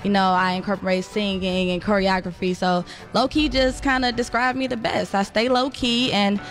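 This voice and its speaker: A woman's voice: mid-range voice, little pitch movement, normal speed. Emphatic young woman chatting over music. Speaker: rapper and singer, interview guest. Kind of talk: casual radio interview chat. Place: small radio station studio. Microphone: studio microphone, close. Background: music playing behind.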